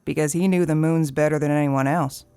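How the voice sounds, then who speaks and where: Creaky, sly old townswoman. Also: sits low, fairly even intonation, brisk, dark tone, dry recording, faint music behind. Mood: sly, coaxing. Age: older. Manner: teasing, folksy, sardonic. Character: sly, folksy, gun-toting elderly desert townswoman. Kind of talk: audio drama dialogue.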